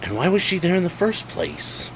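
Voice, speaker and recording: A man's voice: high voice, huge pitch swings, normal speed, vocal fry, pitched above the performer's own voice, heavily acted, rough recording. Story reporter played by a male reader, brooding and curious, dry questioning delivery, slight noise in the background. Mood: puzzled, musing.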